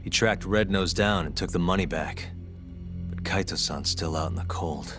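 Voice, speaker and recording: Man playing a low-voiced, dogged young investigator. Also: moderate pace, over music, natural voice. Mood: probing. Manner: terse, dry, probing. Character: cool, dogged young male private investigator. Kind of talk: video game cutscene dialogue.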